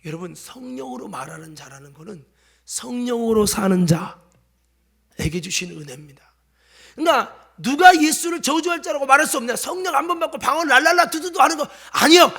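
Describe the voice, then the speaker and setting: Pastor guy w/ a really high voice, bit of chungcheong accent, goes loud then hushed, keeps asking the church questions. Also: big pitch swings, normal speed, slightly rough. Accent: light Chungcheong Korean. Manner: swings from loud exhortation to hushed asides, quizzes the congregation. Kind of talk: sermon.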